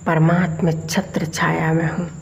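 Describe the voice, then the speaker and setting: Very slow, low-voiced woman guiding meditation. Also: flat delivery, creaky, lo-fi sound, a little hiss or hum. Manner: measured, deliberate short phrases with long pauses. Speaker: meditation guide. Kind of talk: slow guided meditation commentary.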